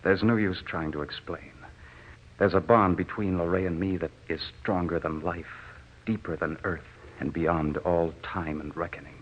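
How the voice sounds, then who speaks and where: An actor playing a lovestruck, haunted young returned soldier in an old radio drama, earnest and melodramatic. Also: male voice, low-pitched, steady tone, normal speed, natural voice. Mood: firm, defiant. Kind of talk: 1940s radio drama acting. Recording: muffled, hissy old radio recording.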